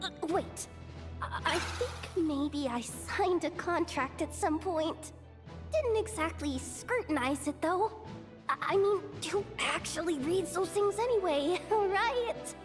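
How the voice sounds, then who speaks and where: A woman's voice: extremely high pitch, expressive, slow, heavily acted. An actress voicing a young, sheepish mercenary woman who stammers nervously. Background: game music.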